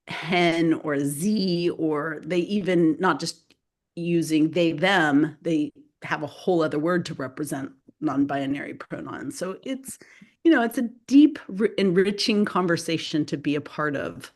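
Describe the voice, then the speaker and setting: A woman whose first language is Spanish, a guest author talking loosely in an interview with many fillers and restarts. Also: on the low side. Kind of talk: casual spoken interview.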